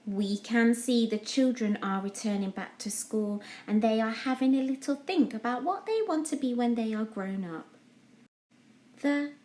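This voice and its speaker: A woman's voice: on the high side, moderate pace, warm tone. A woman reading a children's story aloud as a scripted storytime narration. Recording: echoey room.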